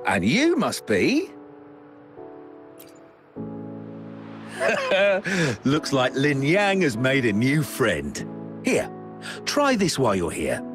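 An actor playing a kindly, chatty snack shop chef in a video game, with a folksy, expressive way of talking. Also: male voice, mid-range voice. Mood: jovial, friendly. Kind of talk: animated game dialogue. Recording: game music behind the voice.

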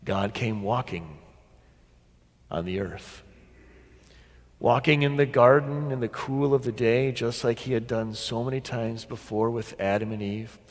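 A middle-aged man preaching a sermon as a pastor, deliberate and dramatic, with pauses and emphatic repetition. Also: medium pitch. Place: large church sanctuary, at the pulpit. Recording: long church reverb.

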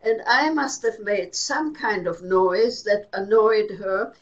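An older woman, a native German speaker, calmly recalling her life story as a guest in an indoor exhibit room. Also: medium pitch. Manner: unhurried, plain storytelling. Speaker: Holocaust survivor guest. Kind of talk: slow personal recollection. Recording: dull lo-fi webinar audio.

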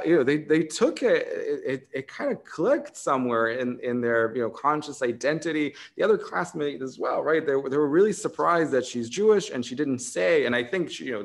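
A man in his thirties from Israel with an Israeli accent, talking in an academic conversation on a video call from home, thoughtful, with many fillers, restarts and tag questions. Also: fairly high pitch. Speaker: author in conversation. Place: home room indoors.

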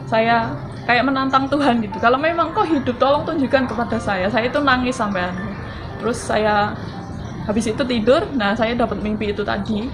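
A woman's voice: on the high side, low-quality recording. Earnest young woman telling a testimony. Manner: earnest, halting, many fillers and restarts. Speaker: testimony giver. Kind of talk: personal testimony, casual storytelling. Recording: voice over clear background music. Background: background music.

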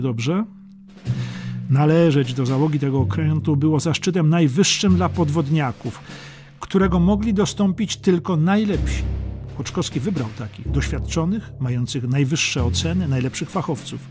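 A man reading a scripted historical audiobook as narrator, in a measured, dramatic storytelling manner. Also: on the high side. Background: music under the narration.

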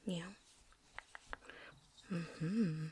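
A woman's voice: fairly low pitch, dark tone, slight background noise. A woman playing a chatty, cocky, seasoned vampire hunter in a whispered ASMR roleplay, rambling folksily. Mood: sympathetic, frank. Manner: folksy, rambling, with drawn-out asides.

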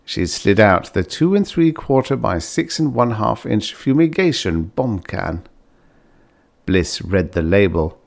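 A man reading vintage fiction aloud as an audiobook narrator, in an expressive, dramatised style. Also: fairly low pitch, measured pace, vocal fry. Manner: expressive, acts out dialogue.

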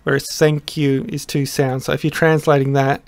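Middle-aged male teacher, slightly higher pitch, halting and reflective, dry close webcam sound. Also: level tone, smooth, no room sound. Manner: thoughtful, halting, many restarts and fillers. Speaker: song translator explaining lyrics. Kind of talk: casual explanatory lesson. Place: small room indoors.

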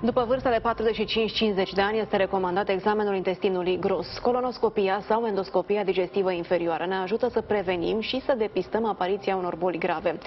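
A woman's voice: medium pitch, steady tone, few pauses, soft background music. Woman news presenter, formal and scripted. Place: indoors in a TV studio. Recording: slightly dull sound, faint background noise.

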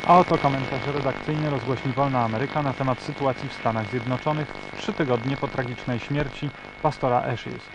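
A man's voice: mid-range voice, steady tone, brisk, few pauses, natural voice. A man playing a formal, official radio news announcer in a parody bulletin. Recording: very noisy radio broadcast.

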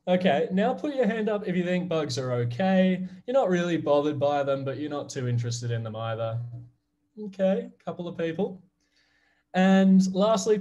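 A man's voice: on the high side, darkish tone. A man in his thirties from Australia with an Australian accent, giving a friendly, upbeat online class presentation to kids over a video call. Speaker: guest presenter.

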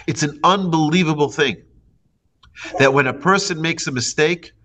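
A man in his forties, a rabbi giving a lively class with stories and acted-out quotes, speaking close into a studio mic in a small room. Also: a little high, normal speed. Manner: lively storytelling with hand gestures and acted quotes. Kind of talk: animated Torah lecture. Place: indoor home studio before a plain wall. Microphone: large studio mic on boom arm, close.

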